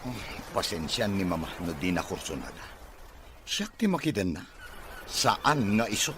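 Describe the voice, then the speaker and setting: A man's voice: low voice, very animated, normal speed. A man acting a well-off boss and devoted lover in a Filipino radio drama, with a Filipino accent. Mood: concerned, insistent. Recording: noisy radio drama with ambient sound.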